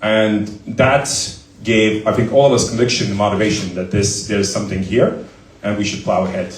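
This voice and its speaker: A man's voice: fairly low pitch, steady tone, moderate pace. A man, a startup founder, answering questions at a live event in a talkative, anecdotal way with many fillers. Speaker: startup founder and CEO as guest. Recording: echoey room, voice from far off.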